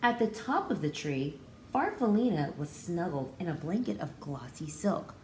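A woman's voice: on the low side, very animated, moderate pace. An American woman in her thirties, a pre-K teacher, reading a picture book aloud and explaining it expressively to children. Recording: echoey room with faint background noise.

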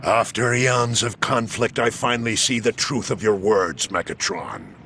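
An actor playing a noble, weary giant robot leader in a video game, solemn, grave and resolute. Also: male voice, on the low side, expressive, a little slow, creaky, very noisy. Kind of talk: dramatic video game voice acting.

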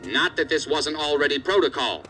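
An actor playing an angry, stern assistant director in an audio drama, scolding and swearing. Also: male voice, on the high side, animated, moderate pace, pushed and bright, faint music behind. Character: angry, hard-bitten male assistant director of investigative agency.

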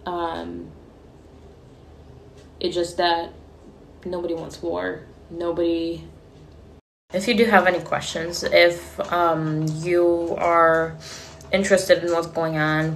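A woman's voice: fairly low pitch, flat delivery, slow, gravelly, pauses often, echoey room, slight background noise. Shaken young woman talking into a phone. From Ukraine. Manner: earnest and shaken, with many fillers and restarts. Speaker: vlogger. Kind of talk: selfie video diary. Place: small room indoors, street outside for some clips. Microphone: phone held at arm's length.

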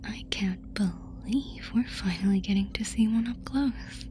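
A woman playing a curious, doting alien fascinated by humans in an ASMR roleplay, whispering softly and breathily in a casual, affectionate way. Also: medium pitch, unhurried, slight background noise, lightly acted. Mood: adoring.

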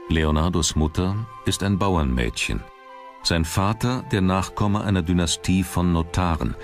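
Very deep-voiced male documentary narrator. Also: a little slow, faint music behind.

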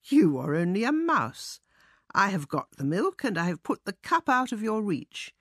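A woman's voice: medium pitch, sing-song, normal speed, dry recording, clean studio sound. A woman voicing a jovial, scornful male dairyman in a storybook reading. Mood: mocking. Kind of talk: storybook character voice.

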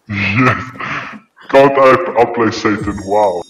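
A young man with a South African accent and a low voice draws out playful threats while gaming with friends. He talks slowly and teasingly. The recording is roomy, with faint noise. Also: a bit bright. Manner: playfully menacing, taunting, chuckling. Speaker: video game player. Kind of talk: casual gaming banter with friends.

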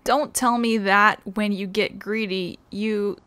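A woman's voice: mid-range voice, steady tone, slow pace, bright, forceful tone, a little hiss or hum. A woman giving casual, rambling, wry commentary as she plays a video game. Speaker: gaming streamer. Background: game music.

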